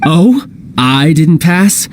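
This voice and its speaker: A breezy, lively young warrior. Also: male voice, fairly high pitch, very slow, slight background noise, full character voice. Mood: pleasant, satisfied. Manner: polished, breezy, self-assured. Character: smooth, confident young male warrior posing as toy salesman. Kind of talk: video game cutscene acting.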